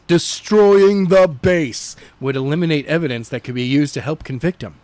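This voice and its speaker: Animated man narrating, dry close sound. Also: on the high side, dry recording. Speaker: amateur audiobook narrator, podcast co-host. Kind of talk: scripted narration.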